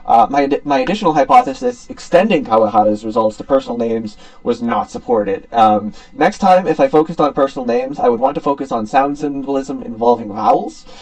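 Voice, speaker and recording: Young guy doing a student slideshow talk, gravelly fry, bit far from the mic. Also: mid-range voice, wildly swooping pitch, a little fast, hardly any pauses, a little mellow. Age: young adult. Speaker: student presenter. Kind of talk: academic slide presentation.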